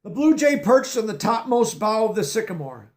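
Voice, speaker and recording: A man's voice: high-pitched, big pitch swings, moderate pace. A man reading a children's picture book aloud, stopping to explain words in a teacherly way. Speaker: storybook reader.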